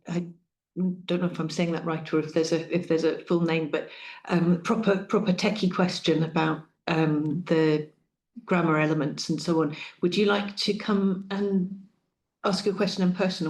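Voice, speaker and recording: A woman moderates the discussion in a gentle, slightly hesitant way. Her delivery stays level, and the room adds echo. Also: low-pitched, little pitch movement, normal speed. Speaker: session chair.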